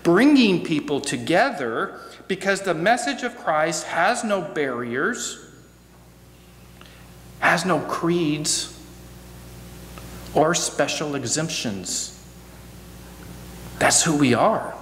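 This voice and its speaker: A middle-aged American man giving a reflective sermon as guest preacher, measured, with deliberate pauses and repeated phrases, at a church pulpit microphone. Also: on the high side, lively intonation, fairly bright tone. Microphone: gooseneck pulpit microphone. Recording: echoey hall, noticeable hum.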